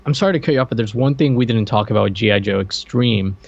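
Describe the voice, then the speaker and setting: A man's voice: fairly low pitch, fast, creaky. A man co-hosting a show, talking casually and conversationally about cartoons, on dull lo-fi audio. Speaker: co-host.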